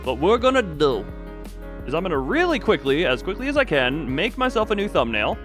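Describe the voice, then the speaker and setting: A man's voice: fairly high pitch, sing-song, brisk, a bit bright. A man livestreaming with casual chat, muttering and thinking aloud in a distracted way. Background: background music.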